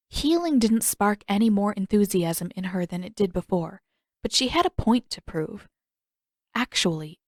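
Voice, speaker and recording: A woman's voice: medium pitch, darkish tone, no echo, clean studio sound. A woman reading a fiction story aloud as a scripted audiobook narration.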